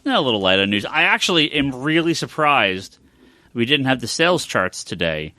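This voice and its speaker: A man hosts a casual comic book news podcast in a bright, forward voice. He is excitable and emphatic, doubling back on phrases for stress. The sound is dry and close. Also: medium pitch, moderate pace, no echo. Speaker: podcast host.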